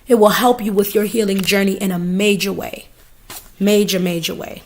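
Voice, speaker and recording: Hoarse lady doing a chill oracle card reading, swears a lot, says things twice. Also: medium pitch, fairly even intonation, normal speed. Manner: casual and profane, repeats phrases. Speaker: spiritual reader.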